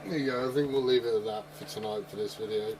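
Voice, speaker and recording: A man's voice: on the low side, fast talker. A man in his forties chatting casually as a CB radio operator and video host. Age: 40s. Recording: clearly noisy CB radio room ambience.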